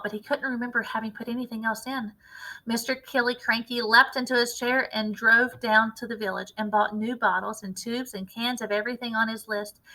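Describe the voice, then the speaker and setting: High, bright-voiced woman reading a story. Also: rough recording. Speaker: teacher reading aloud. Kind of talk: expressive children's storybook narration.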